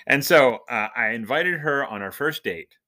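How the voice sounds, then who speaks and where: A man's voice: medium pitch, animated, normal speed, sharp tone. A man hosting a podcast, talking in a jokey, nerdy way. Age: adult, husband and father. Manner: jokey, self-described nerd. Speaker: podcast host and church minister. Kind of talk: casual humorous podcast monologue.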